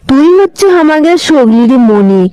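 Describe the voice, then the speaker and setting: Teasing old grandmother, female actor, comedy cartoon. High-pitched, coaxing and scolding. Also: animated, a little slow, mellow, clear, resonant tone, rough recording.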